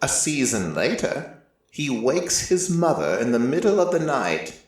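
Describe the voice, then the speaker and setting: An ancient, merciless deity voiced by a man tells a tale in measured, solemn phrases. The delivery is slow, with deliberate pauses and a lively rise and fall. The voice sits a little away from the mic in a very echoey space. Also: mid-range voice. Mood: grave, reflective. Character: ancient merciless deity, male-voiced, reflective and quietly fond. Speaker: narrator of a fiction story. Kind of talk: scripted first-person dramatic narration. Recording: echoey room, slightly distant from mic.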